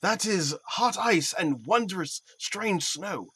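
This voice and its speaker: A man playing a stately, sceptical Athenian duke in a Shakespearean audio play, speaking in a measured, regal, declamatory way. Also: high-pitched, lively intonation, fairly bright tone, husky, dry recording, heavily acted. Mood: dismissive, amused.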